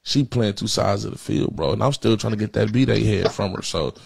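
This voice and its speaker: A man's voice: on the low side, fairly quick, vocal fry, a little mellow, breathy edge, no echo. A man in his thirties on a prank phone call, talking in street slang with an insistent, repetitive, streetwise manner, close on a studio microphone. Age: 30s. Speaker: prank caller. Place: indoor streaming studio with backdrop. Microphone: close podcast microphone on a stand, headphones.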